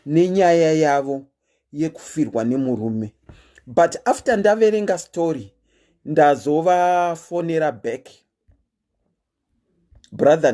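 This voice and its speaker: A Zimbabwean woman hosts her own show, talking straight to her listeners in a casual, storytelling way. Her voice is expressive and animated, rising and falling a lot as she chats, with a sprinkling of "eh" between thoughts. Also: low-pitched. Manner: animated, chatty storytelling with frequent "eh" fillers. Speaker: host.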